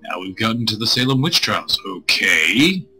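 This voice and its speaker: A man streaming a visual novel, reading it aloud with crude, irreverent joking asides, in a husky voice. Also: lowish voice, sing-song, moderate pace, sharp tone. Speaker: game streamer. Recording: music playing behind the voice.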